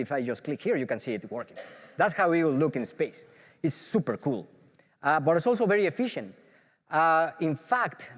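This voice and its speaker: Mexican-accented man in a big hall. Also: medium pitch. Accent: Mexican Spanish. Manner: enthusiastic, filler-heavy with frequent restarts. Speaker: professor. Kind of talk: conference talk. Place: large auditorium.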